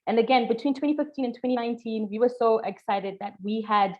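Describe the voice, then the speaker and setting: A woman's voice: on the high side, quick pace. A woman from Zimbabwe, a programs manager, giving an upbeat, chatty webinar presentation with lots of fillers. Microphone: video call. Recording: dull lo-fi video call audio.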